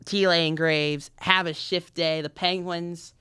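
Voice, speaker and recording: A man's voice: a little high, steady tone, slow pace, bright, forceful tone, smooth, dry recording. A young man, a podcast guest in a university studio, chatting in an upbeat, outgoing way with frequent restarts. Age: young adult. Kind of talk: casual interview chat.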